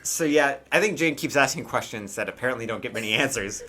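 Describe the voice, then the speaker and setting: Bright-voiced man running a tabletop game. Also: mid-range voice, expressive, fast talker. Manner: casual, conversational. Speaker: game master. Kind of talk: improvised tabletop game narration.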